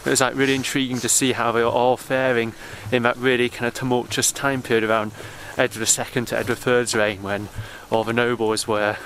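A man's voice: mid-range voice, level tone, fairly quick, few pauses, fairly bright tone, rough recording. English man vlogging over background noise. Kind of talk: casual vlog chat. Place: outdoors on country lanes, or inside a parked car. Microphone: handheld camera at arm's length. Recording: background noise outdoors and in car.

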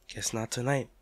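A man playing a devoted, protective partner in an intimate audio drama, tender and reassuring as he comforts an injured loved one. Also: fairly bright tone. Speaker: audio roleplay performer.

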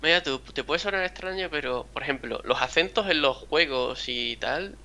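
A man's voice: fairly high pitch, normal speed, sharp tone. A young man from a town near Seville with a mild Andalusian accent, chatting casually and rambling as he hosts a talk over a video game. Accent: mild Andalusian Spanish. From Spain, a town near Seville. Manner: rambling, chatty, acts out quotes. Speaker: gaming channel host.

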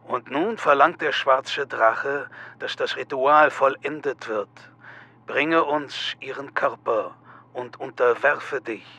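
An actor playing a sinister, taunting cult leader in an audio drama, measured, menacing and husky. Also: male voice, mid-range voice, pushed and bright, full character voice. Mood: solemn, taunting. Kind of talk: German audio drama acting.

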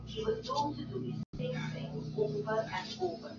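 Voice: very high-pitched, very animated, normal speed, heavily acted. An actor playing an interviewee on old Cold War tapes in an audio drama. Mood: guarded. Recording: noisy, dull, echoey distant tape playback.

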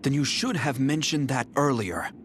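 An actor playing a cool, arrogant young male general in a video game, speaking in a calm, measured, haughty manner. His lines are clean studio game recordings. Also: mid-range voice, slight background noise, natural voice. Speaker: game character. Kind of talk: game voice clips.

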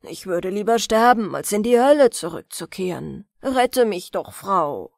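A woman storyteller plays a meek landowner from a fairy tale, frightened and pleading. The words come slowly with lively pitch, in a dry, close recording. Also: gravelly, no echo. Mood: despairing. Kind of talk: fairy-tale audiobook character voice.

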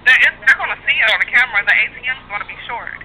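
A woman's voice: a little high, rapid-fire, sharp tone. A woman working as a bank call center agent, handling a customer service phone call. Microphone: telephone. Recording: phone call with faint line noise.

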